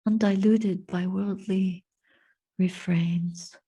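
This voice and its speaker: A woman giving a guided meditation and reflection as a Buddhist teacher, speaking softly and breathily in measured, contemplative phrases with long pauses. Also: mid-range voice, steady tone. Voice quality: soft, breathy.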